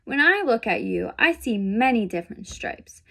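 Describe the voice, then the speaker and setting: A woman voicing a loving mother zebra in a picture book, gentle, reassuring and encouraging. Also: a little high, sing-song, moderate pace, gravelly, full character voice.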